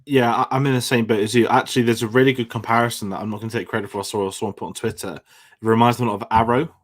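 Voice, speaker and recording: A young man, talking quickly in a chatty, upbeat way as co-host of a casual chat. He rambles into asides and keeps the conversation moving. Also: mid-range voice, flat delivery.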